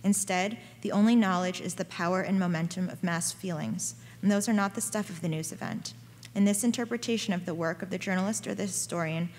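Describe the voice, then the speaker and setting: A woman with a slightly low voice reads an academic paper at a conference. Her delivery is measured and even as she works through dense written sentences. The sound carries a long reverb from the lecture hall. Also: slight background noise. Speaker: conference speaker. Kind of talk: reading a prepared academic paper.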